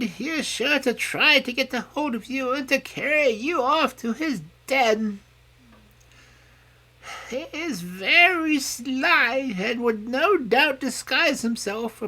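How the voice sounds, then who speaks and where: A reader voicing an old, feeble mother pig who is loving and anxious, in a slow, earnest storybook telling. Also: high-pitched, sharp tone, voice pushed up, heavily acted. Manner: slow, tender, earnest pleading. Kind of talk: storybook reading.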